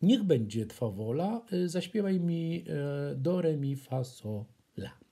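A man voicing an exacting nightingale singing master from a children's storybook, husky and haughty. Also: on the high side, lively intonation, takes it slowly, dark tone, full character voice. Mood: instructing.

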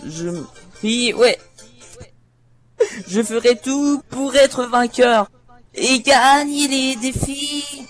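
A man half singing, half reciting a cartoon theme in a halting, self-conscious amateur way. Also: very high voice, a bit bright, faint music behind.

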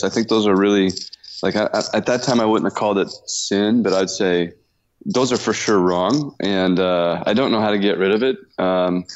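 A man in his fifties from the US Pacific Northwest, a business owner speaking in a halting, self-deprecating way with many fillers, calling in from his workshop. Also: on the low side, highs cut off, a little hiss or hum. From Washington state, US. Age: 50s. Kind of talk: casual interview talk.

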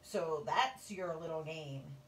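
A woman's voice: low voice, voice pushed down. Viola Swamp, a woman doing a scratchy stern teacher. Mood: bossy. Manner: loud, drawn-out and bossy. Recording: roomy, distant, faint hum.

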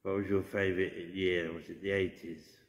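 A very deep-voiced English man speaks to a spirit gently and chattily, reassuring and unhurried. The sound is roomy, with a long church reverb around him. It is recorded on a handheld phone. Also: flat delivery, highs cut off. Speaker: ghost hunter. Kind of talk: casual talk to spirits. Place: old church interior. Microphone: handheld phone camera.

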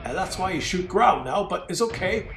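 A man voicing an excitable, mocking game commentator who speaks broken English in a put-on foreign accent. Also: sits high, normal speed, vocal fry. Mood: steady commentary. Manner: broken grammar, exclaiming and gloating. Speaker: host. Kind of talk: comic commentary on a game replay. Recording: roomy, distant mic with faint noise.

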